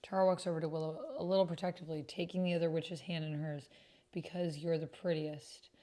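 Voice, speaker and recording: A woman streamer chatting casually and reading typed roleplay aloud, sometimes halting as she types along. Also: low-pitched, level tone, mellow. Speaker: streamer playing an online roleplaying game.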